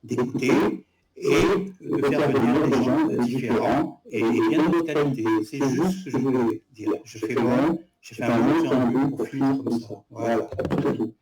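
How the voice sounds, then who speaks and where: A man's voice: medium pitch, moderate pace. Blunt critical man, far and echoey. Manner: blunt, critical, hesitant restarts. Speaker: guest in a live debate. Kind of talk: live stream debate. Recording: echoey room, far from mic, loud crowd noise.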